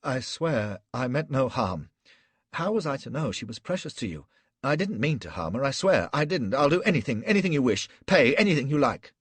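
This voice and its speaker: Man playing a scared stiff officer, pitched way up and loud, frantic begging, bit raspy. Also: sing-song, a little fast, no room sound. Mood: pleading. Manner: frantic, shrill, begging and stammering. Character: terrified cowardly young British officer, pleading. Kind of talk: audiobook character reading.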